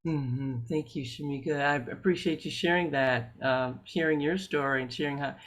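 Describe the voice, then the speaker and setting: A man's voice: fairly high pitch, steady tone, normal speed, fairly bright tone. A man facilitating a workshop discussion, a clinician speaking in an affirming, encouraging and reflective way.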